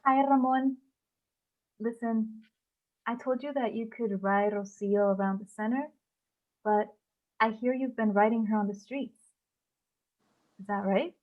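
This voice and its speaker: A woman playing a kind, tactful stable worker in a screenplay table read, speaking gently and carefully. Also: on the high side, a little slow, long pauses, natural voice. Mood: concerned. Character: kind, tactful woman working at an equestrian center. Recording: dull, lo-fi sound.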